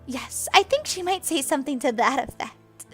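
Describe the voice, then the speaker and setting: A woman's voice: sits high, animated, moderate pace, a bit bright, faint music behind, pitched above the performer's own voice. Lady Constance, a woman doing a sneering spoiled young noblewoman. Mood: taunting. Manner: sneering, taunting. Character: spoiled haughty young noblewoman, cruel and smug.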